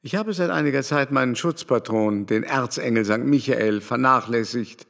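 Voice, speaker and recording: An actor playing a refined, haughty, devout French baron in a German radio play, speaking in a mannered, pompous way. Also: male voice, mid-range voice, normal speed, creaky, a bit bright, big-room sound. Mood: airy, elegant. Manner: mannered, pompous, ornate phrasing.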